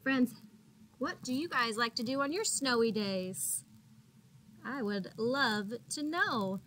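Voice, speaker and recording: Woman, high voice, unhurried pace, expressive storybook read-aloud for young children, teacher addressing little listeners, light background noise. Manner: expressive, addresses children as friends, gasps for suspense. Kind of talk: animated picture-book read-aloud with questions to young listeners.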